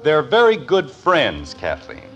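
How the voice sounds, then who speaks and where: A man's voice: on the high side, wildly swooping pitch, measured pace, dull audio, over music, full character voice. An actor playing a middle-aged, fussy, sarcastic father losing his patience in a vintage 1950s radio comedy. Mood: strained patience. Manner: exasperated, emphatic. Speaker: lead actor in a radio sitcom. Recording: vintage radio broadcast recording.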